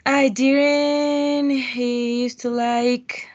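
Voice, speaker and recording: A woman's voice: sits high, little pitch movement, very slow, muffled recording. A woman, a student on a video call who searches for English words and asks how to say them. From Cauca, Colombia.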